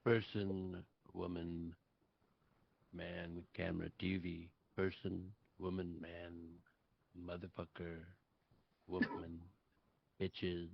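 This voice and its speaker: A man's voice: low-pitched, level tone, slow, creaky, long pauses, lo-fi sound, heavily acted. A man playing an arrogant, petulant older politician in a comic stage script, blunt, indignant and dismissive, at a table read. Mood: muttering, irritated. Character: arrogant, petulant older male politician in afterlife waiting room. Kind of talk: live table read acting.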